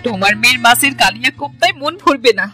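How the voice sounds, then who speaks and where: Fretful village mom in a cartoon, highish voice, plaintive, music behind her. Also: animated, quick pace, sharp tone. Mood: worried. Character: worried, long-suffering village mother of three daughters. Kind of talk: cartoon voice acting. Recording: music under the voice.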